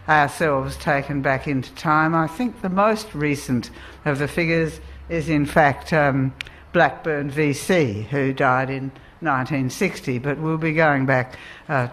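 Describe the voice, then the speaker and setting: An Australian woman chairing a live writers' festival session, introducing the speakers in a measured, deliberate way. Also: deep voice, poor audio.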